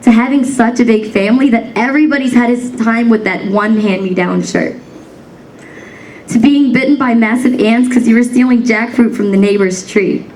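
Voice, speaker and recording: A woman's voice: fairly high pitch, normal speed, darkish tone, recorded from a distance, a little hiss or hum, rough recording. A young woman from the United States performing a spoken word poem in a rhythmic, rhyming cadence, emphatic and defiant. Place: banquet hall stage.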